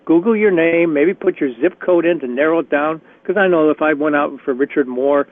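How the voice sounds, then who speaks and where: A man with a slightly raspy voice leading a career workshop on a conference call, chatty and encouraging with frequent restarts. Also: a little high, a little fast, no room sound. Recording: tinny phone line.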